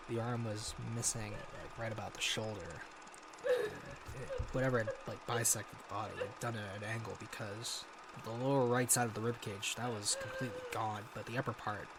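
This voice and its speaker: An actor playing a haunted American war veteran, recounting grim horrors haltingly in an audio drama log. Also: male voice, on the low side, background noise. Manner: halting, sighing, hesitant delivery.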